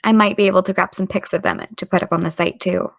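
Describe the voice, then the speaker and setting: An actress playing a studious, quietly wry teenage girl, matter-of-fact, in a naturalistic audio drama. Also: on the low side, fairly even intonation, quick pace, creaky, dry recording.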